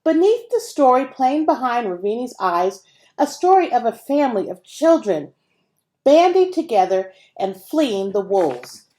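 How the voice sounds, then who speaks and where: Woman reading a story with lively, swooping pitch. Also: unhurried, rough recording. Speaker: audiobook reader. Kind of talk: scripted storybook narration.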